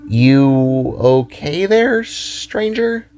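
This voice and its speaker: A man voicing a goofy, excitable, chatty young girl adventurer with playful, rambling speech in an audio drama. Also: fairly high pitch, drawn-out words, soft background music, voice pushed up, heavily acted. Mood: curious, sarcastic. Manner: playful, sarcastic, rambling with false starts.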